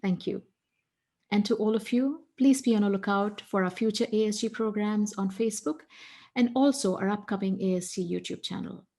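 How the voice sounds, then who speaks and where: A woman's voice: mid-range voice, normal speed, vocal fry, dark tone. A woman moderating a webinar, polite and formal as she thanks the speakers and hands over. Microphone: webinar call.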